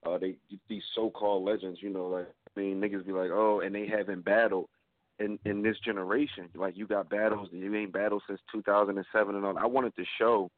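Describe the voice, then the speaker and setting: A husky, very deep-voiced man talks fast in emphatic street slang as a radio guest. He comes through a tinny, phone-like line with a dry, close sound. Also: flat delivery, no room sound. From Philadelphia. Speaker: guest battle rapper. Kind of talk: casual radio interview.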